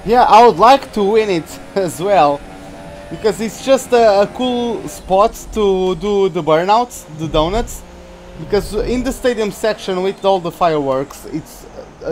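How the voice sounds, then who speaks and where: A man's voice: high-pitched, animated, a little slow, slight background noise. A young Portuguese man with a Portuguese accent, chatting and laughing often as he commentates his own racing game on a live stream. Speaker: gaming streamer.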